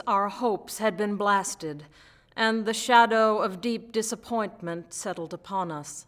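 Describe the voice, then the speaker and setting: A woman's voice: medium pitch, a bit bright. A woman reading a historic letter aloud as scripted text.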